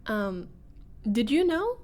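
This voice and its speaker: A woman's voice: fairly high pitch, big pitch swings, slow pace, a little mellow. A woman voicing a flustered young former bully in a scripted roleplay audio, hesitant and wry. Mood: flustered, disbelieving. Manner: hesitant, sighing, nervous laughs, wry muttering.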